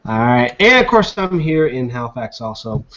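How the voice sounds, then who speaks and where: A man's voice: mid-range voice, big pitch swings, normal speed, a bit bright. A man hosting a casual live web show from a video call, chatty and upbeat with frequent filler words. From Nova Scotia, Canada. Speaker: host. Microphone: webcam video call. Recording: dull lo-fi video call audio.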